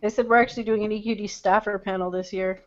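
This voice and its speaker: A man's voice: high voice, fairly even intonation, quick pace, a bit bright. A man in a casual group voice chat, making brief matter-of-fact remarks. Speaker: chat participant. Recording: dull lo-fi voice chat.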